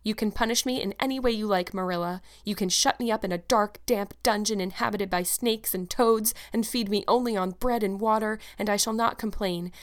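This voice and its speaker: A woman's voice: medium pitch, a little fast, hardly any pauses, lightly acted. A woman voicing an eleven-year-old orphan girl in a storybook, emphatic and full of pleading drama. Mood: dark determination.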